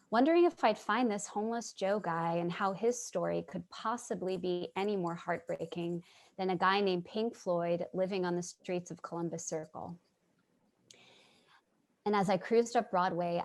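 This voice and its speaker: A woman playing Charlie, an earnest, curious listener. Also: fairly low pitch. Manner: earnest, reflective. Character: Charlie, sympathetic, curious woman narrating her street encounters. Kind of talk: staged play reading with narration. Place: remote video call reading.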